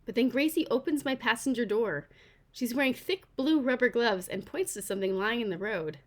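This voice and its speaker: A woman reads a children's novel aloud as its author. Her delivery is animated and informal, and her pitch swings widely as she goes. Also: a little fast. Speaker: author reading her own book aloud.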